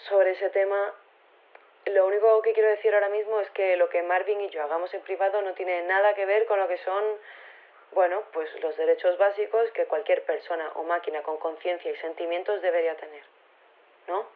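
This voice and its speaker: An actress playing an earnest, slightly flustered university activist with a Spain accent, leaving an informal voicemail in an audio drama. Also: female voice, on the low side, fairly even intonation, a little fast. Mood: earnest, awkward. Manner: hesitant, informal, self-conscious, pleading. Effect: phone filter. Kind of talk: casual voicemail in audio fiction. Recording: narrow tinny phone line.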